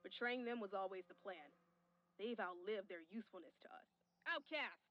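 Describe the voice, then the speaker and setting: A woman's voice: mid-range voice, normal speed, muffled recording, a little hiss or hum, natural voice. A woman voicing a suspicious, defiant outcast leader in a video game, commanding and combative. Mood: angry, betrayed.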